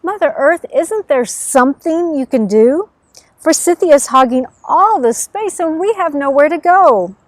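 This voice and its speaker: A woman reader puts on an aggrieved woodland creature from a children's tale. The voice sits high and sounds plaintive and indignant, in a dry open-air sound. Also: expressive, a little slow, no echo. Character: fed-up crowd of plants and animals, complaining.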